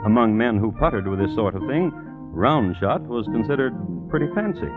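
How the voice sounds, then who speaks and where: A man narrating a scripted 1950s radio drama in a dry, formal, deadpan manner. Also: medium pitch, normal speed, over music. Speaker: narrator and host. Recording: tinny vintage 1950s radio recording.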